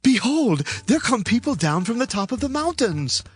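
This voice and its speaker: A man plays a defiant rebel leader in a biblical audio drama. His voice is high and swings widely as he declaims his boasts. Music plays clearly behind him. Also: big pitch swings, moderate pace, no echo, heavily acted. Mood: alarmed, insistent. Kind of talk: dramatized Bible reading.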